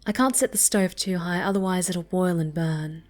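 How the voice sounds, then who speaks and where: A woman playing a snobby but soft, easily flustered cat girl in an intimate ASMR roleplay, speaking coyly and haltingly, often breathy. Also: lowish voice, faint music behind, close to an everyday voice. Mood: bossy, composed. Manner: halting, stammering, coy, haughty.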